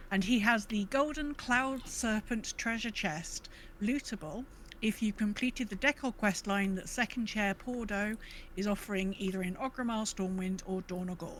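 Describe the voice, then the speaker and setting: Female co-host for informal podcast news and chat, medium pitch, slightly noisy recording. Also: fairly bright tone.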